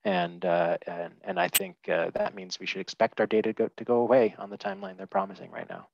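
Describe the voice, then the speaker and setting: A man's voice: low voice, fast talker, vocal fry, dry recording. A man on a headset in a small room, giving candid, opinionated views as a panelist in a webinar, with many fillers and restarts. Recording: dull lo-fi video call audio.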